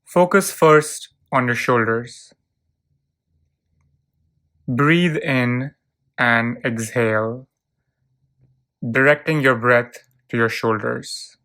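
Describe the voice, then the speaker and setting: Slow, smooth guided relaxation voice. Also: mid-range voice. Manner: calm, soothing, measured delivery with long pauses. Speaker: guided meditation narrator. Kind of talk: guided visualization, relaxation script.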